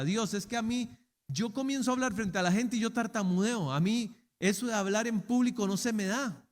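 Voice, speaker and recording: A middle-aged man preaching a sermon as pastor, often asking rhetorical questions and acting out imagined excuses. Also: high-pitched. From Costa Rica. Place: church chapel. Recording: long reverb of a church hall.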